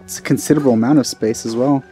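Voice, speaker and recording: A man's voice: medium pitch, steady tone, racing, mellow, faint music behind. A man giving casual gameplay commentary, musing aloud and trailing off as he thinks. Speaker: gaming video maker.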